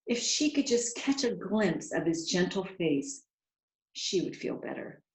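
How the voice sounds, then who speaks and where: A woman reading a picture book aloud with expressive, dramatic storyteller phrasing, drawing out key words. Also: medium pitch, echoey room, rough recording. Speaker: children's author reading aloud.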